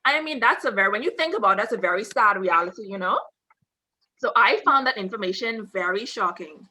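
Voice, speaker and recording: A young woman hosts a video-call chat from an armchair at home, her voice crisp and bright. She sounds upbeat and conversational, picked up by a webcam mic. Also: mid-range voice, animated, fairly quick, low-quality recording. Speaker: host. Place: indoors at home, seated in armchair.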